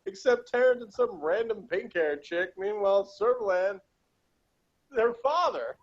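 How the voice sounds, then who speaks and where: A man's voice: high-pitched, expressive, a little slow, highs cut off. A man chatting casually as co-host of a TV episode review.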